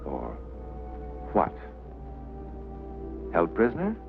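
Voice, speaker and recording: An actor playing a grave narrator, speaking in deliberate, questioning phrases in a 1950s mystery style. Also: male voice, low-pitched, huge pitch swings, gravelly, narrow, tinny audio, over music, exaggerated voice. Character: grave male narrator puzzling over an unsolved mystery. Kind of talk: dramatic 1950s mystery monologue. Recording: thin old film soundtrack.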